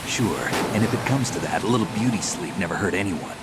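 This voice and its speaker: An actor playing a wary, terse special-operations veteran in a video game, with a gruff, gravelly voice. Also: male voice, deep voice, expressive, quick pace. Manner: terse, dry, guarded. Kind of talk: video game cutscene acting. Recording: heavy noise of rain and vehicles.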